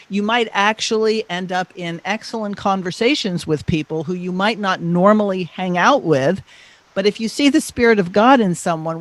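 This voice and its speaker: Male discussion host. Fairly high pitch, deliberate phrasing, no room sound. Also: fairly bright tone, no echo. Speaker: podcast host. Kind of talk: measured religious discussion.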